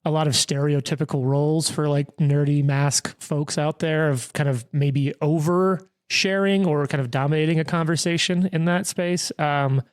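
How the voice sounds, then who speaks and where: A man's voice: a little high, steady tone, normal speed, few pauses, clear, resonant tone. A man in his thirties, the game master, chatting casually in a rambling, self-deprecating way with lots of quoted asides and restarts. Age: 30s. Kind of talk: casual chat at the gaming table.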